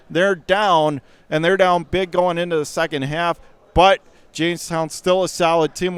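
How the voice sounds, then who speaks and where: A man with an American accent and a fairly high voice commentates on a basketball game. He delivers clipped, radio-style play-by-play that lifts in excitement as the plays develop. He is speaking from an indoor school gym. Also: smooth. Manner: rattles off clipped phrases, rising to excited calls on plays.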